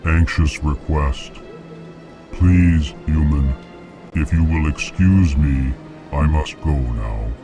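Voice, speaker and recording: A very deep, slow alien. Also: male voice, over music, exaggerated voice. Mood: flat formal. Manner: states his feelings as flat labels before speaking. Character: slow deep alien man, formal and emotionless.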